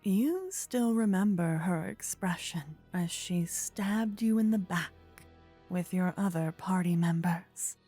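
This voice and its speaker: Slow, breathy woman narrating fantasy. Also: fairly low pitch, warm tone, soft background music. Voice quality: breathy, whispery. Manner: slow, measured storytelling. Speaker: narrator and voice actor. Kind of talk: scripted second-person fantasy narration, soft ASMR.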